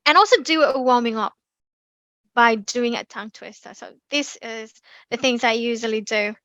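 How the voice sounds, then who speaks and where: A young woman with an Indonesian accent, giving a lively online class talk with many ums and restarts. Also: fairly high pitch, normal speed, dry recording. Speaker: community speaking presenter. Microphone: computer mic on a video call.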